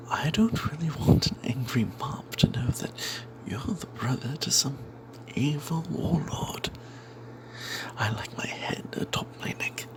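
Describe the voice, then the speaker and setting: Breathy whispering man telling a fantasy tale. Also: mid-range voice, low-quality recording. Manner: hushed and secretive, as if not to be overheard. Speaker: storyteller narrating a tabletop game tale. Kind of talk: whispered ASMR first-person fantasy storytelling.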